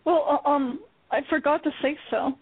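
A woman's voice: high-pitched, fairly even intonation, moderate pace, dry recording. A woman acting a hesitant, defensive young female college student in a radio play. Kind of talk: amateur radio play reading. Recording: tinny phone-line radio broadcast.

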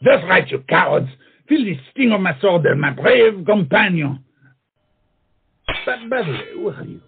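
Pompous duellist, high and gravelly, theatrical comic delivery, phone-like muffled sound. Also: male voice, very animated, measured pace, exaggerated voice. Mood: swaggering. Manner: theatrical, mocking, peppers speech with French phrases. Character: pompous, flamboyant big-nosed French swordsman, witty and proud. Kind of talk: comic stage play acting. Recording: muffled, tinny stage play recording.

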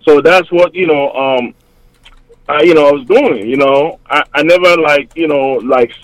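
A man's voice: on the high side, no echo, poor audio. A man with a Cameroonian accent, a hip-hop artist talking as a guest on an interview show, upbeat and rambling with plenty of fillers. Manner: upbeat, talks in quick rambling phrases with many fillers. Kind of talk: casual interview over a remote line.

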